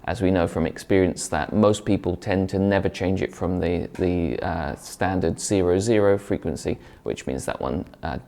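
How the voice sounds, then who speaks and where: A man's voice: deep voice, normal speed, creaky, few pauses, a little mellow. A man presenting a product review in a conversational, explanatory way, partly scripted.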